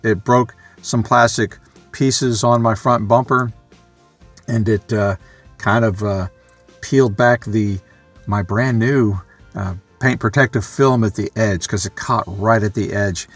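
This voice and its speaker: Male vlogger, middle-pitched, unscripted and matter-of-fact, thinking out loud in a dry recording. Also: no room sound. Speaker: vlogger, amateur radio hobbyist. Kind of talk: casual unscripted vlog talk.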